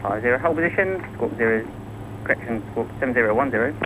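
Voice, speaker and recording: A man rattles off clearances extremely fast as an air traffic controller. His medium-pitched voice comes through a noisy aviation radio link. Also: animated. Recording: noisy airband radio transmission.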